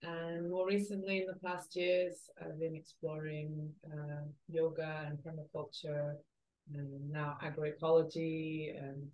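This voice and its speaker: A woman's voice: low voice, a little mellow, rough recording. A woman from southern Portugal answering as a podcast guest in a reflective, hesitant, conversational way.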